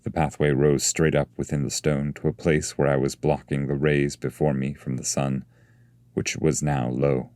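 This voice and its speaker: A man reading epic poetry as a scripted narration, in a measured, solemn way. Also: a bass voice, level tone, darkish tone, no room sound.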